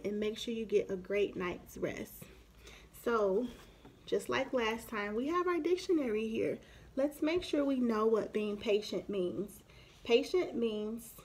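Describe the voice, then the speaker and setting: A woman in her thirties reading a picture book aloud expressively for young children, pausing for explanatory asides. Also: a little high, husky. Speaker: children's story reader. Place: small room at home, indoors.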